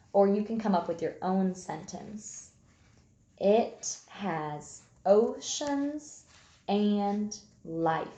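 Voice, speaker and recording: Slow, lively woman teaching young children. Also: mid-range voice. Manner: simple, instructional, enunciates key words deliberately. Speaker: early elementary school teacher. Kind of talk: read-aloud lesson for young children. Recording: echoey room sound.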